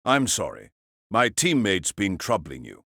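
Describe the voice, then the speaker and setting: Deep, slow, stern young captain. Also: male voice, huge pitch swings, vocal fry, sharp tone, no echo, clean studio sound, exaggerated voice. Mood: stern, composed. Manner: measured, blunt, polite. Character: stocky, stern, composed young male team captain. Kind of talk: anime-style audio drama acting.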